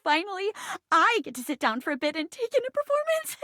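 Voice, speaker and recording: Game character, an animated stage-struck female chair: high, bright, eager and dramatic. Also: brisk, dry recording, clean studio sound, full character voice. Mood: bubbly, eager. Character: perky theatrical living chair, eager young stage actress.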